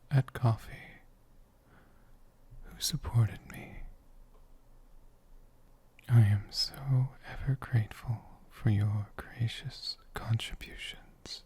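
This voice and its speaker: A man voicing a sleepy, affectionate dragon shopkeeper in a soft, breathy, whispered ASMR roleplay, with a drowsy and gently formal way of talking. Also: lowish voice, steady tone, takes it slowly, pauses often, heavily acted. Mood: grateful, affectionate.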